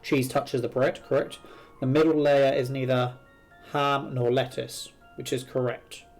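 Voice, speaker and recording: A man's voice: medium pitch, flat delivery, a little slow. A man streaming a video game, thinking aloud as he works through puzzles and reads them out. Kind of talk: live game commentary, reading puzzles aloud. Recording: game music behind the voice. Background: video game music.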